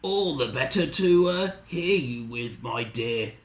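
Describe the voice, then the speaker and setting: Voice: medium pitch, expressive, takes it slowly. A reader putting on a growling, scratchy big bad wolf. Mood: slyly menacing. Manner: growling, snarling, drawn out. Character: big hungry sly male wolf, greedy villain. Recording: roomy, echoing room.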